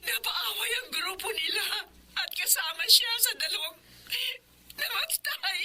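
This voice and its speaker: A woman's voice: very high-pitched, animated, measured pace, bright, forceful tone, exaggerated voice. A woman playing an emotional middle-aged boss and bereaved mother in a Filipino audio drama, with a Filipino accent and dramatic delivery. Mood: distraught. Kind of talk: Filipino radio drama acting.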